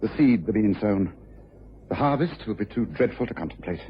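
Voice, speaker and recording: An actor playing a shrewd, commanding gentleman detective in a radio drama, speaking formally and incisively. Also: on the low side, animated, lightly acted. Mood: grave. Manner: brisk, crisp, formal, incisive. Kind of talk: old-time radio drama acting. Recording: noisy muffled old radio recording.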